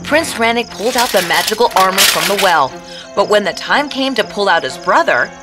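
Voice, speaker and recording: Animated woman storyteller. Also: medium pitch, fairly quick, poor audio. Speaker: storyteller, narrator. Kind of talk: scripted fairy-tale narration. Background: prominent background music.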